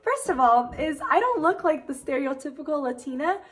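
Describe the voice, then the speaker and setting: Young Brazilian-born vlogger, Portuguese first language, upbeat, slightly high, chatty to camera. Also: female voice, a little fast, echoey room. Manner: chatty, animated storytelling with lots of "like". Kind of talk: casual chat to camera.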